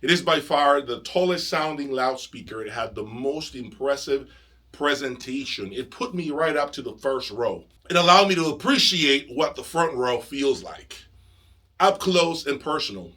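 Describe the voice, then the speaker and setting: A man hosting an enthusiastic video review, speaking emphatically with dramatic pauses. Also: medium pitch, lively intonation, pushed and bright. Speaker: audio review channel host. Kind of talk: enthusiastic product review presentation to camera.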